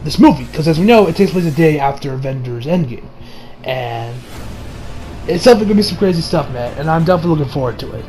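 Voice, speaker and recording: Young man rambling casually, higher-pitched voice. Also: poor audio. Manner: excitable, slangy fan talk with trailing asides. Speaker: video reviewer. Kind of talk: casual rambling movie review. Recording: music behind the voice. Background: music.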